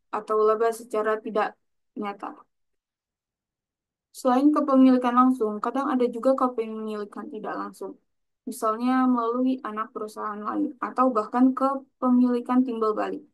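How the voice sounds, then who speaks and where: A woman's voice: fairly high pitch, rough recording. A young woman giving a scripted explanatory presentation as a student, in a formal, recited manner. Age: young adult. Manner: formal, recited from prepared text.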